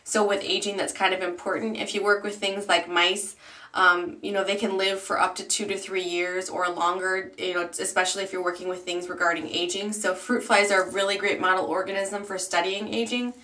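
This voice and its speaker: A woman's voice: medium pitch, level tone, fairly quick, bright, forceful tone, recorded from a distance. A woman in her twenties chatting casually to camera in a vlog, rambling with lots of ums and likes. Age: 20s. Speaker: YouTube beauty vlogger.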